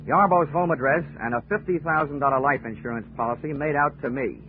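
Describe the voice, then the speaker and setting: An actor playing a wisecracking, unflappable investigator in a clipped, wry 1940s radio drama style. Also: male voice, a little high, a little fast, slight background noise, close to an everyday voice. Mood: brisk, cool. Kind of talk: 1940s radio acting, detective narration. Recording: muffled old radio recording, music behind.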